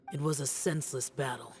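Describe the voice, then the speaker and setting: A man's voice: medium pitch, close to an everyday voice. A solemn immortal demon slayer from an anime game played by a man. His mid-range voice is youthful but grave, clipped and restrained, keeping others at a distance. Faint music sits behind him. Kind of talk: anime video game voice acting.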